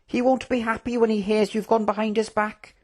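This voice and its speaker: An idealistic young prince from a fantasy storybook, performed by a narrator, pitched well above natural speech. He speaks formally, with earnest, pleading outrage. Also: level tone, brisk, lightly acted. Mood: horrified.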